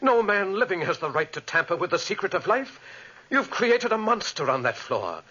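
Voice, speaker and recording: An actor playing an older, learned, moralizing professor in a theatrical 1940s radio horror style. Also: male voice, high-pitched, sing-song, normal speed, sharp tone, slightly rough, heavily acted. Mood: horrified, righteous. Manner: theatrical, emphatic. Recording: dull lo-fi 1940s radio recording.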